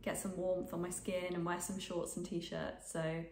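A woman's voice: fairly low pitch, little pitch movement, measured pace, a little mellow, roomy sound, a little hiss or hum. A woman in her twenties with a bit of a cold, chatting casually about sewing to camera from her sewing room, rambling with lots of ums. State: snuffly from a cold. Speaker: sewing vlogger. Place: home sewing room, indoors.

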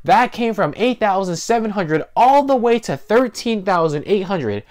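A man's voice: high-pitched, expressive, normal speed, a little mellow. Young man explaining in a casual, emphatic way. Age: 20s. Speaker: host of an investing video channel. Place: small living room, indoors. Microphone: lapel mic.

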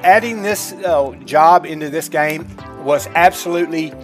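A man's voice: on the high side, normal speed, faint music behind. A middle-aged man giving casual, folksy gameplay commentary, chatting informally to his viewers. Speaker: gaming streamer. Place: indoors at a computer desk, webcam.

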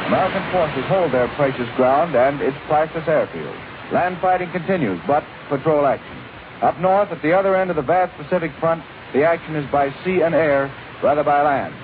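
Male war correspondent, fairly high voice, formal and deliberate, over a thin, noisy shortwave link. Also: narrow, tinny audio. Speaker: overseas radio news correspondent. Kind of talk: 1940s wartime news report.

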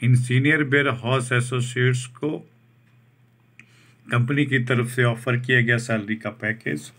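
A man reads out a job advertisement over a document, slowly restating each English term in Hindi. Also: mid-range voice, fairly even intonation, a bit bright. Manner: slow, deliberate, restates each point in Hindi after English terms. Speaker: job vacancy channel host.

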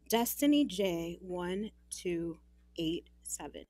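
Casting: actress as sweet, stubborn young woman, slow and animated delivery, audio drama dialogue. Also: fairly low pitch, no room sound, full character voice. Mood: reluctant.

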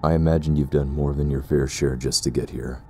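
A man playing a sinister, condescending zealot in an audio roleplay drama, speaking in a formal, measured way. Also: rumbling low, steady tone, warm tone, a little hiss or hum. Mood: cold, composed. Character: sinister cursed brother, pompous, coldly condescending zealot.

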